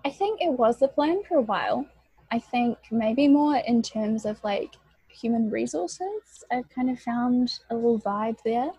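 A woman's voice: on the high side, lively intonation, unhurried, dark tone, breathy edge, dry recording, low-quality recording. A young woman in her twenties with a New Zealand accent, chatting casually as a guest on a podcast and filling her speech with "like". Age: 20s.

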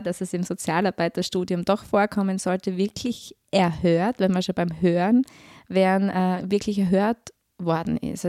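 A woman's voice: mid-range voice, mellow, dry recording, clean studio sound. A woman explaining things conversationally and with emphasis as a podcast guest. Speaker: podcast guest, social worker.

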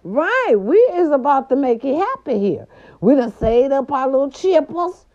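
A grandmother from California talking casually to her viewers, animated and chatty, with salty slang and lots of "right, right" fillers. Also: sits high, normal speed. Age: older. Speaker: vlogger. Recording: dull lo-fi sound.

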